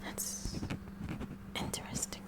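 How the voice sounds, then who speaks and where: A woman whispering an ASMR roleplay as a kooky, eagerly curious high school science club president, with an inquisitive, hushed manner. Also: close to an everyday voice. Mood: fascinated. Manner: inquisitive, hushed, slow and deliberate examining.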